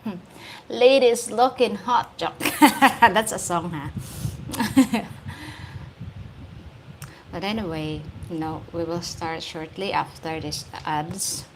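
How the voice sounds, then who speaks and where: A woman from the Philippines with a Filipino accent hosts a casual livestream, hoarse-voiced, chatting, laughing often and greeting viewers by name. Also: fairly low pitch, slow pace, pauses often, background noise. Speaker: YouTube host. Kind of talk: casual livestream chat reading viewer comments.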